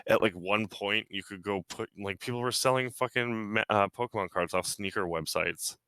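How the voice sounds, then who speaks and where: Brief: East Coast man, low pitch, bright crisp tone, relaxed swearing storyteller, dry close mic. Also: normal speed, no echo. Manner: laid-back, swearing, storytelling. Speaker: podcast co-host. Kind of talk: casual chat among friends.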